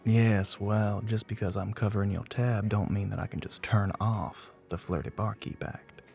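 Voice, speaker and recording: A man playing a flirty, middle-aged bartender with a Southern US accent and a low, gravelly voice, teasing in a folksy way in an ASMR roleplay. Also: steady tone, moderate pace, darkish tone, soft background music. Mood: flirty, smooth. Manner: drawling folksy terms of endearment, teasing.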